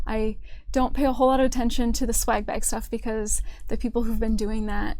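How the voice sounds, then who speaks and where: A woman's voice: fairly high pitch, steady tone, brisk, mellow, slight background noise. A woman speaking as an event organizer in casual, unscripted livestream chat. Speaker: convention organizer on a company livestream.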